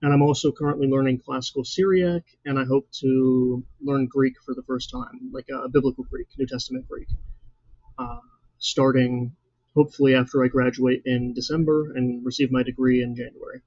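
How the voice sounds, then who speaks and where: A young man in his twenties, hosting his own channel, introducing himself in an earnest, measured way with frequent fillers. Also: medium pitch, darkish tone, no room sound, dull audio. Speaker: channel host. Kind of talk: scripted personal introduction.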